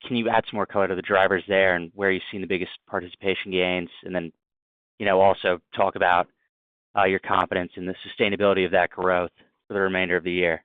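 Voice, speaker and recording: A man's voice: sits low, level tone, quick pace, no room sound. A man putting prepared business questions as an analyst on a conference call over a phone line. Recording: dry, narrow telephone line.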